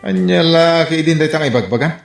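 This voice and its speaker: A woman acting a low, creaky, disapproving villager. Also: brisk. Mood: scolding. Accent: Ilocano. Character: disapproving village woman shushing her gossipy friend. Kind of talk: Ilocano comedy radio drama. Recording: echoey, loud music underneath. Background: loud music.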